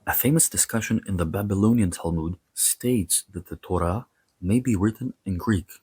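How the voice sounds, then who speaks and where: A man with a low, husky voice hosts an educational lecture. He reads scripted material in short, separated phrases and slips into casual asides as he explains. The sound is dry, with very little room echo. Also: normal speed, a little mellow. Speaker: host of a history and mythology series.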